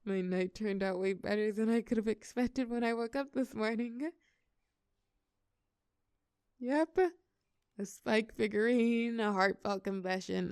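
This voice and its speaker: A woman's voice: mid-range voice, a little mellow, clear, resonant tone, dry recording, lightly acted. A young woman playing a shy, tender college girl confiding in a close friend, hesitant yet playful, in an intimate audio roleplay. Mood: playful, incredulous. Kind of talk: intimate scripted audio roleplay, one side of a conversation.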